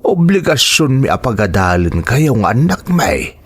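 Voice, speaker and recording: Husky, slow old Filipino father. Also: mid-range voice, big pitch swings, no echo. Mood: grateful, weary. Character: ailing, gentle old father, stubbornly reassuring. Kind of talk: audio drama acting.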